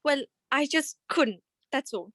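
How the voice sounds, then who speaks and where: A woman's voice: sits high, expressive, unhurried, fairly bright tone, no echo, clean studio sound. A woman playing an anxious, genteel married client in a 1940s-style detective radio play, pleading with many stammered hesitations. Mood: flustered, pleading.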